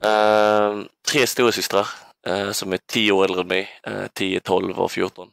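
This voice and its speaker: A man's voice: lowish voice, flat delivery, vocal fry, a bit bright, clean tone, dry recording. A man in his twenties from southern Sweden, reminiscing casually as an interview guest in a hesitant, thoughtful way. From Ängelholm, southern Sweden. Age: 20s. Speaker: podcast guest, basketball player.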